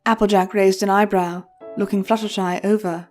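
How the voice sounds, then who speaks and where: A woman's voice: mid-range voice, little pitch movement, normal speed. A woman reading scripted storybook narration as the storyteller, with music playing behind her. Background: background music.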